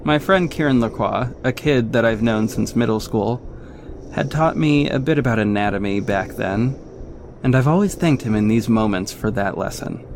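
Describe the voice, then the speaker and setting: A man's voice: mid-range voice, moderate pace, a little mellow, a little hiss or hum, lightly acted. An actor playing a young, cocky but caring male vigilante superhero in a scripted audio drama. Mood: earnest narrating. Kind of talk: scripted first-person narration and acted dialogue. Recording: music behind the voice. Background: music.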